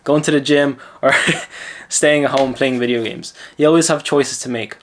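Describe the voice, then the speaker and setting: A man's voice: medium pitch, steady tone, normal speed, slight background noise. Lithuanian man with an Irish accent. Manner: chatty, self-assured, lecturing. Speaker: mindset coach. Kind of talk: casual storytelling and advice monologue.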